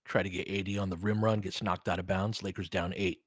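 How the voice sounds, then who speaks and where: A man's voice: deep voice, little pitch movement, brisk, no echo, studio quality. A man doing informal, opinionated basketball play-by-play, mixing clipped calls of the action with casual chat to his listeners. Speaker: host and play-by-play commentator of a basketball livestream. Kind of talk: casual fan play-by-play commentary mixed with chat.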